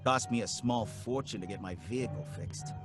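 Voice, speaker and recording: An actor playing a jittery working-class cab driver in an old-time radio drama, pleading and excitable with folksy slang. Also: male voice, mid-range voice, a little fast, soft background music, natural voice. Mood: rattled recounting.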